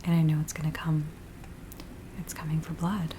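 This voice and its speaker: A woman playing a frightened villager in a soft, breathy, whispered ASMR roleplay, speaking in a hushed, hesitant way. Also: sits low, natural voice. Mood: fearful. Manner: hushed, hesitant, slow storytelling. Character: frightened village woman pleading with a werewolf tracker. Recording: steady rain-like background noise. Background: rain sounds.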